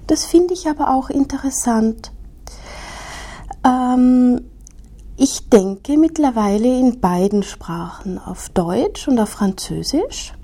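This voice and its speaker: A woman speaks slowly and thoughtfully. Her voice sits a little high but sounds soft and mellow. She weighs each phrase in a calm, reflective monologue. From Austria. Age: 30s. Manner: slow, measured and thoughtful.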